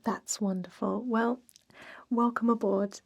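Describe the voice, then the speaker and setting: A woman playing a friendly, chatty old vampire job interviewer in a soft, breathy ASMR roleplay whisper. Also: fairly high pitch, steady tone, slow, heavily acted. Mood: excited, welcoming. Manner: gentle, chatty, encouraging.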